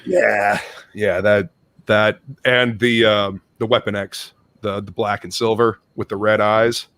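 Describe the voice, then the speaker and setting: A man's voice: fairly low pitch, flat delivery, a little slow, fairly bright tone, no room sound. A man hosting a casual live stream chat, rambling between topics, swearing freely and greeting viewers in the chat. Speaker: stream host.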